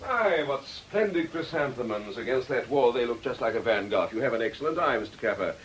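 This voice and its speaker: An actor as an earnest, expressively intoned vicar. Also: male voice, mid-range voice, lively intonation, a little fast, slight background noise, close to an everyday voice, low-quality recording. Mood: polite, reflective. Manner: articulate, courteous, pleading. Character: earnest, defensive male vicar with a troubled past. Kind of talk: British radio drama acting.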